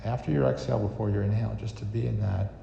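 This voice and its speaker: A man leading a guided meditation, giving unhurried, soothing instruction with long pauses. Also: lowish voice, steady tone, gravelly, dark tone, slight background noise. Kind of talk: guided meditation with astrology teaching. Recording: reverberant echo, slightly muffled, music behind. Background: music.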